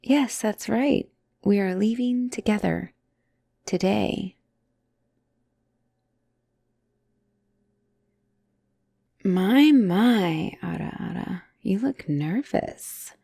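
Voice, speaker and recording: A woman's voice: medium pitch, animated, slow, vocal fry, full character voice. A woman playing an obsessive, lovestruck asylum patient in a dramatic roleplay audio, theatrical and doting with a menacing edge. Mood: sly, confident. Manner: theatrical, doting, swinging between gushing and hushed menace.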